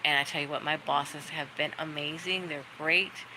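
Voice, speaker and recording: A woman's voice: sits low, steady tone, moderate pace, background noise. A middle-aged woman chatting casually on a livestream, rambling through stories in a friendly, talkative way. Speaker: livestream host. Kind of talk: casual chat to viewers. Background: dogs barking.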